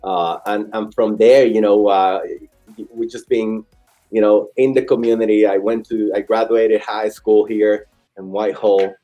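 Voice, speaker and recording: A man of about forty with a Dominican Spanish accent and a mellow, low-brightness voice. He speaks as an interview guest, sharing his story earnestly and haltingly, repeating words as he goes. Faint music is present. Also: steady tone. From Dominican Republic. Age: late 30s to 40. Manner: halting, earnest, with frequent repetitions. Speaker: interview guest, restaurant owner. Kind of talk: conversational personal storytelling.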